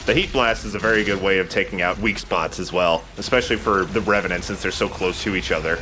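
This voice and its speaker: A man's voice: low voice, steady tone, fast, noisy background. A man hosting a video game let's play, chatting casually in a jokey, rambling, profane way. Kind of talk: casual gameplay commentary with co-commentary banter.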